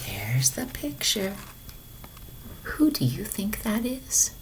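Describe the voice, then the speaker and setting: A woman's voice: mid-range voice, wildly swooping pitch, takes it slowly, background noise, exaggerated voice. A woman voicing a kindly, knowing old grandmother in a children's storybook. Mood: gentle, coaxing. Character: kindly old grandmother, sly former hockey player.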